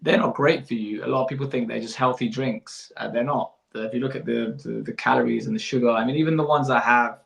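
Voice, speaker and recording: A man's voice: mid-range voice, steady tone, fast talker, vocal fry, few pauses, a bit bright, reverberant. A man in his thirties, a fitness coach, answering interview questions informally and explaining as he goes, often rephrasing. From born in Iraq, moved abroad as a young child. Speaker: fitness coach and business owner.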